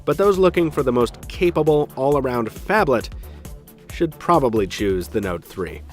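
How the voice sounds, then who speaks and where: A man's voice: mid-range voice, animated, moderate pace, faint music behind. A man hosting a tech show, narrating a scripted product review in an emphatic style with dry, wry asides.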